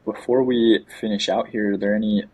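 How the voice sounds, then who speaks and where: Podcast host, man, deep flat husky voice, earnest and eager. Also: brisk, rough recording. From United States. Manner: earnest, enthusiastic, often restarts words. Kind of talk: casual interview chat.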